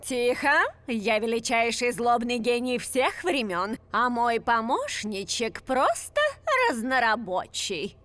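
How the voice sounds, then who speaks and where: A woman's voice: fairly high pitch, expressive, takes it slowly, fairly bright tone. Woman playing a gloating evil genius mom. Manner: grand, gloating, theatrical. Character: boastful evil genius mother. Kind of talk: dubbed cartoon acting.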